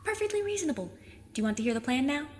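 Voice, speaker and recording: A woman's voice: sits high, very animated, rapid-fire, darkish tone, a little hiss or hum. A woman playing a plucky, overconfident fifteen-year-old girl sleuth with a cheeky, mock-formal manner in an audio drama. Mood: cheeky, scheming. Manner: eager, cheeky, mock-formal, self-assured.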